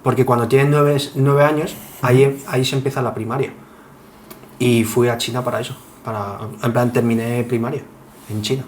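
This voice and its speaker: A man's voice: mid-range voice, normal speed. Young Spanish man, mid-range voice, chatting. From Spain. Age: 20s. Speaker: podcast guest. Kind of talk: casual chat. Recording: clear steady background noise.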